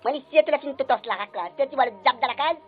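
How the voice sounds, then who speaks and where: A woman's voice: sits high, very fast, narrow, tinny audio, noisy background, lightly acted. A woman with a Réunion accent playing a grumpy, brusque uncle and spirit medium in a radio comedy, scolding and impatient. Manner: scolding, gruffly impatient, questioning. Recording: clear music under the voice.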